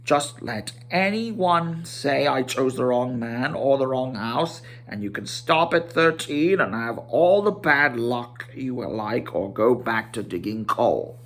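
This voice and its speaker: A stern old storybook wizard, acted out by a man. He speaks slowly and with heavy emphasis, scolding and commanding with full authority. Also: mid-range voice, expressive, fairly bright tone. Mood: irritated, stern.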